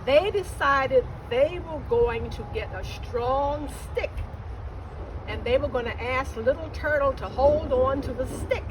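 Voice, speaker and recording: A woman's voice: high voice, measured pace, noisy background. A woman telling a children's story expressively, drawing out key words.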